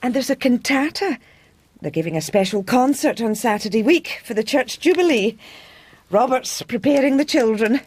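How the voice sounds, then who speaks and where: An actress playing a bossy, devoted schoolmaster's wife with a Scottish accent in a period radio drama, nagging and talking over others. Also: female voice, fairly high pitch, animated, moderate pace, darkish tone, breathy edge. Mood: anxious, insistent.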